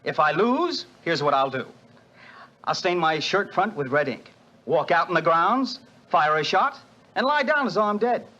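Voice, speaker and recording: A jaunty young American gambler in a vintage radio play. His tone is bright and slightly raised. The broadcast sound is muffled. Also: a little high, big pitch swings, normal speed, heavily acted. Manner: jaunty, joking.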